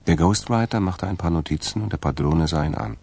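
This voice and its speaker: A man with a gravelly voice narrating a German radio crime drama from a script. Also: sits low, fairly quick, darkish tone.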